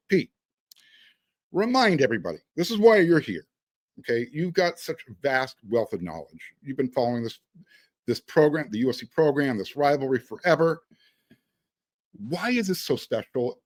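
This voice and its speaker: A male sports host speaks in a medium-pitched voice with lively swings in intonation. He interviews conversationally, and the sound is dry and free of room echo.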